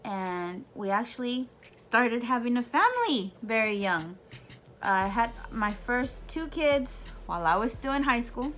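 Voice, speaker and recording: A woman's voice: fairly high pitch, a little slow, slight background noise. Chatty woman in her thirties. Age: 30s. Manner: chatty, animated, many fillers and restarts. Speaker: YouTube vlogger. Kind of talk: casual Q&A talk to camera.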